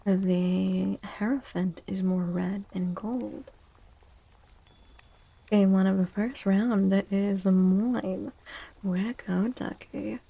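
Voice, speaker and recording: A woman hosting a soft-spoken ASMR tarot stream, talking gently and breathily, often near a whisper, with drawn-out delivery. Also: medium pitch, gravelly, breathy edge, rough recording. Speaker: livestream host giving tarot readings.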